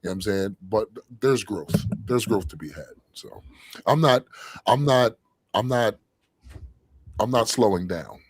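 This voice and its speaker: A man's voice: lowish voice, lively intonation, unhurried, long pauses, husky, dry recording. A man co-hosting a fan podcast, talking earnestly in casual chat and often adding "you know what I'm saying". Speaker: co-host of a fan show.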